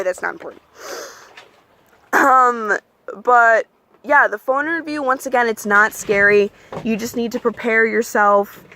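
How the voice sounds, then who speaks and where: A young adult woman chatting candidly in a casual advice vlog, with plenty of ums and likes, and she calls her own voice monotone. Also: on the high side. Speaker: vlogger giving application advice.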